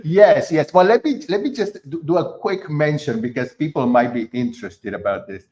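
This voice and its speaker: A middle-aged man from Argentina, a concert pianist being interviewed, who talks in a halting way with many fillers and restarts. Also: a little high, expressive, warm tone. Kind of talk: conversational interview.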